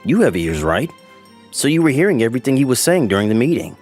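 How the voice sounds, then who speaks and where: A man's voice: mid-range voice, very animated, fast talker, a little mellow, faint music behind. A man playing a stern, formal, authoritative company boss in a manga dub. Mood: stern, angry.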